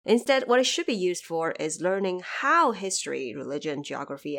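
Low-pitched woman podcast host, very lively intonation. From China. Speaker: podcast host explaining a story. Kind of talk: scripted explanatory narration.

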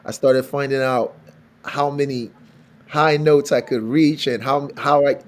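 A man from Benin, West Africa, a singer with a raspy voice, telling stories in an animated way in a casual interview. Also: medium pitch, measured pace. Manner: animated storytelling, laughs often. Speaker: singer and songwriter guest.

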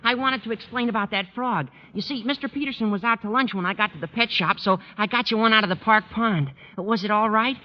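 An actor playing an earnest, excitable teenage schoolboy in an old-time radio comedy, eager and apologetic. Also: male voice, sits high, fairly quick, hardly any pauses, close to an everyday voice. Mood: eager, sheepish. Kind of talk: 1940s-50s radio comedy acting. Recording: muffled old radio recording.

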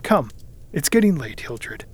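A man's voice: on the high side, big pitch swings, unhurried, breathy edge, heavily acted. A man voicing a kindly young officer in an audiobook, soothing and humouring. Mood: soothing, puzzled. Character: kindly, placating young officer, the narrator's cousin. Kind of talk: audiobook character acting.

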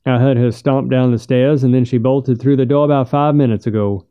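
A man's voice: mid-range voice, steady tone, a little fast, smooth, highs cut off, natural voice. A man narrator voicing a wry, distracted male friend in an audiobook, dry and matter-of-fact. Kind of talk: audiobook character voice.

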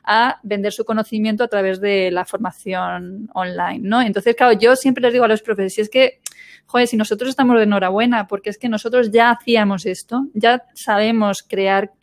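A woman's voice: mid-range voice, fairly even intonation, moderate pace. A woman hosting a casual conversational interview, chatty and full of little "¿no?" and "¿sabes?" tags. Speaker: podcast host.

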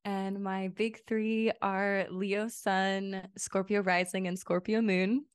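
A woman guest who grew up between Lithuania and the United States, talking in a relaxed, reflective way with light laughs. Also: medium pitch, slow, clean tone, dry recording, clean studio sound. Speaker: podcast guest, energy healer. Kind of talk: casual interview chat.

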